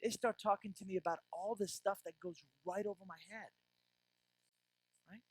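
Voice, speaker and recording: A man's voice: high voice, fairly even intonation, normal speed, no room sound, poor audio. A man from Iran giving a business keynote with jokes, often asking the audience "right?". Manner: tags lines with "right?" to the audience. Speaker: keynote speaker.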